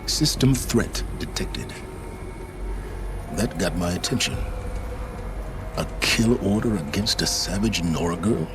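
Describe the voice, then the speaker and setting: A man acting an aloof, calculating scholar in a video game, speaking in a measured, haughty, articulate way. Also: sits low, expressive, noisy background, heavily acted. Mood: measured, reflective. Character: aloof, calculating older male scholar, secretive and arrogant. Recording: music behind the voice.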